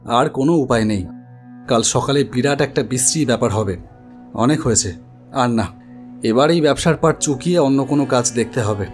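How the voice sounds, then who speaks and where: A man plays a troubled idol-maker narrating his own ghost story for an animated horror tale. His voice sits at a middle pitch, expressive and anxious in its telling. Music runs beneath the words. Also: moderate pace, close to an everyday voice. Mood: uneasy, brooding. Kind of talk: dramatic first-person horror story narration. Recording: studio voice over music bed.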